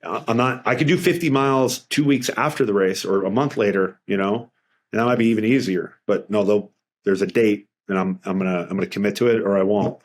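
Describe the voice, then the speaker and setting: A man's voice: on the low side, a little fast. A middle-aged man speaking as a financial advisor in a casual interview, earnest and rambling with frequent restarts.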